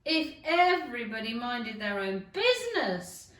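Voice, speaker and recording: A woman voicing an ill-tempered, bossy Duchess from a storybook, with brusque, drawn-out, emphatic delivery. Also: on the high side, sing-song, a bit bright. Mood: scornful. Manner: brusque, emphatic, stretched-out words. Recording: echoey room, distant microphone.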